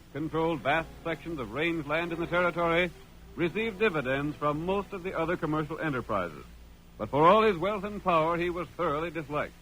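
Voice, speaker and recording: Seeking a male American narrator with a ringing, forceful tone and grand delivery for 1930s-style Western radio. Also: fairly high pitch, a little hiss or hum, rough recording. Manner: grand, dramatic announcing. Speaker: announcer and narrator. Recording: vintage 1930s radio broadcast.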